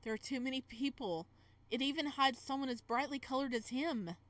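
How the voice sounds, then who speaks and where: A woman's voice: high voice, fairly quick, fairly bright tone, pitched above the performer's own voice. A woman reading as the flustered young male protagonist of a romance visual novel, in dramatic first-person narration. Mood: tense.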